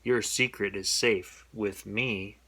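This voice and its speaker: A man's voice: lowish voice, fairly even intonation, slow pace, sharp tone, husky, exaggerated voice. A man reading a storybook, playing a helpful schoolboy friend in a matter-of-fact, reassuring manner. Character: calm, helpful schoolboy friend Buster from a picture book.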